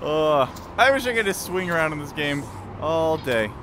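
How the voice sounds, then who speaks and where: A man's voice: on the high side, lively intonation, moderate pace, noisy background. A man giving playful, casual commentary as he plays a video game. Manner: playful, muttering reactions and exclamations. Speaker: gaming video host. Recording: game music behind.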